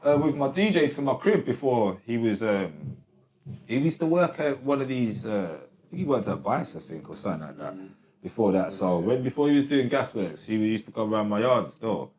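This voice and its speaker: A man's voice: mid-range voice, animated, moderate pace, darkish tone. A man, a rapper guest chatting casually in slang and laughing often. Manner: laid-back slang, frequent "you get me", laughs often. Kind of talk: casual interview chat. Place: recording studio. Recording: roomy studio sound.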